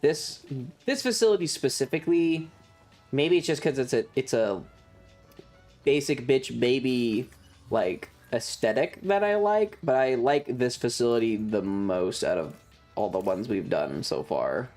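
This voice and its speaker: Crude, animated game streamer with a mid-range voice. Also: mid-range voice, measured pace. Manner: crude, sarcastic, playful swearing. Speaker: streamer, host. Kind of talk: casual game stream commentary. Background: faint game music.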